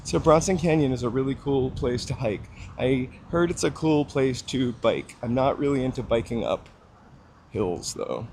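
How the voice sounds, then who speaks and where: A man's voice: medium pitch, expressive, normal speed, darkish tone, a little hiss or hum. Chatty man streaming on a street walk. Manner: rambling, chatty, filler-heavy. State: slightly froggy voice, dry cough. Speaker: livestream walking host. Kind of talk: casual chat to live viewers while walking. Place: outdoors on a city street.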